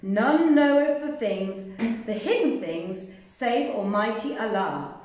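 A woman's voice: on the high side, animated, slow, a little mellow. A woman reading aloud who voices an evasive male chief of police, piously deflecting, in a very echoey room.

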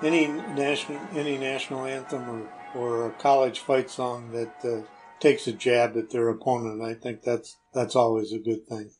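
Halting man answering questions over music. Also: mid-range voice, level tone, rough recording. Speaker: recurring interview guest.

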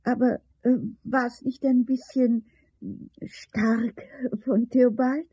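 Radio drama: querulous pious eighty-year-old woman, mellow highish voice, dull recording. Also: flat delivery, slow, slightly rough, no echo. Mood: questioning. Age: 80s in the role. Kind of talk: 1970s German radio play acting.

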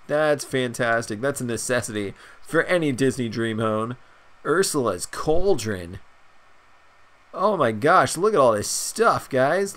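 Young man, stuffy nose, excited casual game commentary, rambling with fillers. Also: medium pitch. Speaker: gaming channel host.

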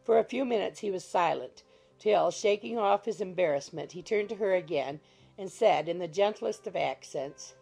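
A woman narrates a literary audiobook from a script. Her voice is mellow and soft-edged, with heavy creak running through it. Quiet music plays underneath. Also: on the low side, moderate pace, low-quality recording. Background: faint music.

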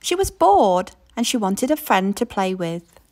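A woman reading a children's picture book aloud in an expressive storytelling way. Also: medium pitch. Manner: expressive storytelling, slow and lilting.

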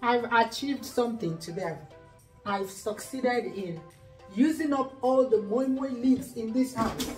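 A woman's voice: on the high side, unhurried, a little mellow, soft background music, poor audio. A Nigerian woman in her forties hosting a cooking video in her home kitchen, chatty and playful, thinking aloud as she cooks. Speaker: cooking channel host. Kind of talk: cook-along cooking video. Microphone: camera at a distance.

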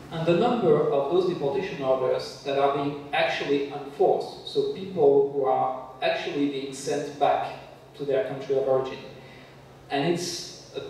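A man with a French accent, a guest lecturer answering audience questions at a seminar in a measured, explanatory way with frequent hesitations. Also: fairly high pitch, warm tone, a little hiss or hum. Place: university seminar room. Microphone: handheld mic, filmed from far back. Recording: echoey room from far off.

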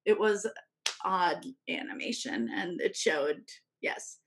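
A woman's voice: fairly low pitch, slight background noise. A woman in her thirties, a podcast guest speaking openly and reflectively about personal experience, seated in a living room at a tabletop microphone. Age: 30s. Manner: open, reflective, thoughtful pauses. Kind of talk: candid personal conversation. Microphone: tabletop podcast mic.

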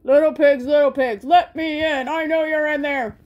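A woman's voice: high-pitched, fairly even intonation, moderate pace, darkish tone, dull audio, natural voice. A woman voicing a hungry, blustering big bad wolf in a children's story. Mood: coaxing. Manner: blustering, theatrical.

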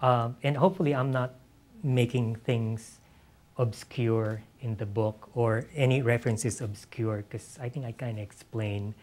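A middle-aged man, an author answering questions on stage, speaking haltingly and repeating his words and phrases. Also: medium pitch, steady tone, a little mellow. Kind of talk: unscripted Q&A talk. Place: on stage in an auditorium with an audience. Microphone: lapel mic.

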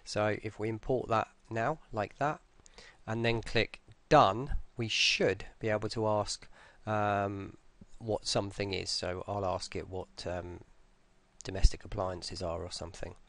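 A man presenting a software tutorial in a casual, informal way, explaining hesitantly step by step. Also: on the low side, steady tone, measured pace, no room sound. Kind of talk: casual screen-recorded tutorial narration.

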